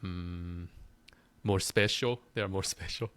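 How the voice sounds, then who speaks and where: Man from taiwan, not english, interviewer, low voice, dry close sound, short affirming remarks. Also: slow pace, fairly bright tone, clean tone, no room sound. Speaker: interviewer, host. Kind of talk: casual interview questions.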